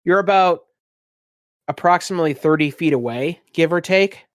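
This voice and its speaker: A man improvising scene descriptions as game master of a tabletop game, halting as he goes and using ornate wording. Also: a little high, dry recording, clean studio sound.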